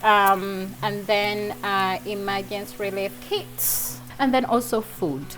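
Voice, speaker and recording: A woman's voice: medium pitch, fairly even intonation, slow, fairly bright tone, low-quality recording. Female guest cook, Ugandan accent, mid-range voice, casual explanations, faint music. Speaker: charity staff member, guest cook. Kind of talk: casual cooking chat and explanation.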